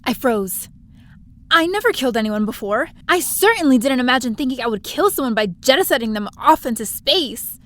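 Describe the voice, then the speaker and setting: A woman's voice: high-pitched, lively intonation, brisk, a bit bright, slight background noise. A woman playing a young space adventurer, telling her own story expressively in an audio drama. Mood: tense, dramatic. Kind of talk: first-person audio drama narration.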